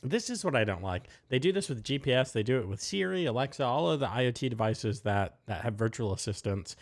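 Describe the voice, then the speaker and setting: A man's voice: mid-range voice, lively intonation. A man co-hosting a live talk show, giving blunt, opinionated takes in casual chat. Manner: blunt, opinionated, quick asides. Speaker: podcast co-host.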